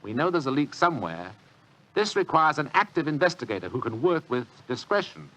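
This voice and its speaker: An actor playing a lazy, pompous, shrewd British government official with a grumbling, dryly superior manner, in 1940s radio drama style. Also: male voice, a little high, big pitch swings, brisk, fairly bright tone, low-quality recording. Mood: shrewd, intent.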